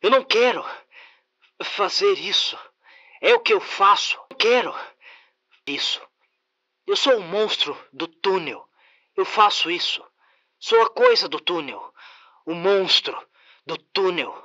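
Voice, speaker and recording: A man's voice: sits high, animated, slow, pauses often, sharp tone, highs cut off, pitched above the performer's own voice, heavily acted. A man voicing a pleading tunnel-dwelling monster that repeats itself obsessively. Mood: desperate pleading.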